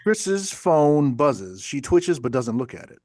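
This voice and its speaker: A man's voice: fairly high pitch, sing-song, takes it slowly, a little mellow, dry recording. A man reading stage directions as scripted narration for a play table read.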